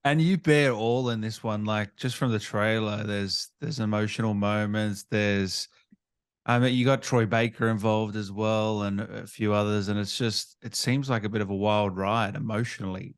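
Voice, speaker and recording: A man's voice: fairly low pitch, steady tone, normal speed, clean tone, no echo, clean studio sound. A man hosting an interview, asking questions in a casual, conversational way. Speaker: interviewer, host.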